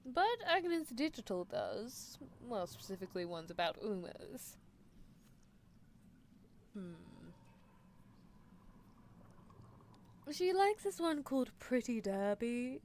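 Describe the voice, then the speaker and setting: A woman's voice: a little high, very animated, slow, darkish tone, a little hiss or hum. A woman playing a playful, bossy British female scientist in an ASMR roleplay, teasing and coaxing with clinical asides. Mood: dry, coaxing. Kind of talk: ASMR roleplay livestream in character.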